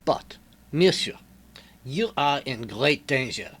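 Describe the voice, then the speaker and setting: A man's voice: medium pitch, animated, slow pace, a bit bright. A man playing a shrewd, courteous Belgian detective with a French-speaking Belgian accent, formal and precise, in a radio mystery drama. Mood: formal, insistent.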